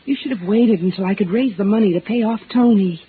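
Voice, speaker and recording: A woman's voice: mid-range voice, fast talker, phone-quality sound, lightly acted. An actress playing a scheming, melodramatic showgirl in an old-time radio crime drama, with theatrical, emphatic delivery. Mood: uneasy. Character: scheming, catty showgirl woman with melodramatic flair. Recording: thin, slightly noisy old radio recording.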